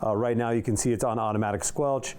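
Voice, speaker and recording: A man's voice: mid-range voice, fairly even intonation, fast talker, a little mellow, smooth. A man giving a casual, hands-on product walkthrough in an informal way, talking as he handles items. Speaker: product reviewer for a radio shop.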